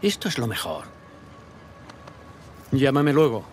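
A man's voice: medium pitch, very animated, takes it slowly. A man voicing a contented, genial businessman ready to retire in a dubbed game, easygoing, with faint ambient noise. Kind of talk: Spanish dub of cinematic game drama.

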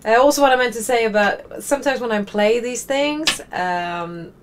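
A woman's voice: a little high, moderate pace, noisy background. A young woman with a Swedish accent, casually commenting while playing a game, chatty and rambling with wry asides. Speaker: let's play host.

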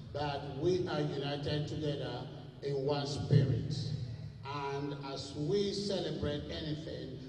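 Older man, solemn, in a booming hall. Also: background noise. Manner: solemn, drawn-out delivery. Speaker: libation speaker at a gala. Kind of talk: ceremonial prayer and speech. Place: large hall, on stage. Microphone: handheld mic. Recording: very echoey hall, distant mic.

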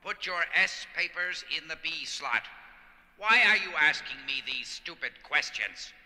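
Man acting a sharp, officious bureaucrat in a hall. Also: on the high side, animated, measured pace, exaggerated voice. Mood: irritated. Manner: stiff, pedantic. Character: officious, irritable, fearful bureaucratic factory man. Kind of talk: audio drama acting. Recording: long hall reverb.

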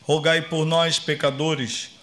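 A man reciting repeated rosary prayers in a monotone devotional style. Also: a little high, takes it slowly.

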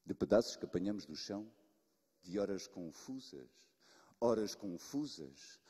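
Male slam poet, husky, dramatic, dynamic swings in volume, long hall reverb. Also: lowish voice, animated, unhurried. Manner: dramatic, with repeated words and sudden shifts from loud to hushed. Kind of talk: slam poetry performance. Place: live stage in a reverberant hall. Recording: reverberant hall, live stage.

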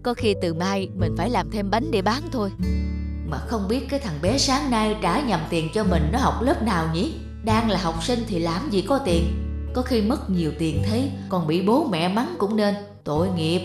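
Cartoon old woman played by an actress: gentle, honest street seller, grandmotherly delivery, music underneath. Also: mid-range voice, animated, moderate pace, close to an everyday voice. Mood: thoughtful. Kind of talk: children's cartoon dialogue.

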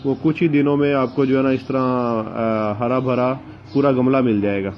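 A man's voice: medium pitch, fairly even intonation, slight background noise. A man in an easygoing outdoor gardening vlog, his voice caught on a handheld phone with dull, muffled sound. He talks in a mid-range voice, stopping often with filler sounds, and faint open-air ambience sits behind him. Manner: hesitant, many filler sounds and pauses. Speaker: gardening channel host. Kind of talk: casual home gardening vlog. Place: outdoors among potted plants.

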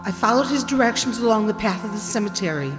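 An older woman acts a distraught wife in an old-time radio horror play. Her high voice is anxious and halting. It rings through an echoey hall, with music behind. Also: expressive, lightly acted. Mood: dread. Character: frightened, distraught wife narrating a horror tale. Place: stage in a hall, live reading. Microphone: stand microphone.

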